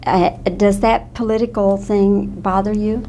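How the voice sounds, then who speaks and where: A woman's voice: medium pitch, fairly even intonation, a little slow, slight background noise. A female interviewer pressing an actress with pointed questions. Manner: probing, then warmly complimentary.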